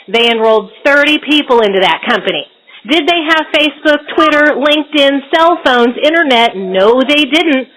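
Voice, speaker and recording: Woman, fairly high voice, enthusiastic promotional host, lots of "uh" and "you know". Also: fairly bright tone, rough recording. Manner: enthusiastic hype introduction with filler words. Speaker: marketing executive hosting a call. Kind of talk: conference call introduction.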